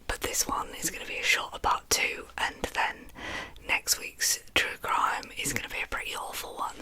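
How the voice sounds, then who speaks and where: A woman speaks in a soft, breathy whisper with a very bright, crisp edge and a lisp. She hosts in an ASMR storytelling style, keeping the delivery informal and chatty. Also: low-quality recording. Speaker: host of an ASMR true crime series.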